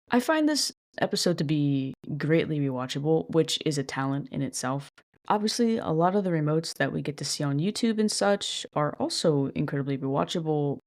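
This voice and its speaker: A woman hosting her own casual podcast, talking alone into the mic about a show she loves. Her delivery stays flat and monotone, though she is chatty and self-deprecating, with the odd swear word. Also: low voice. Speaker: podcast host. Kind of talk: casual solo commentary.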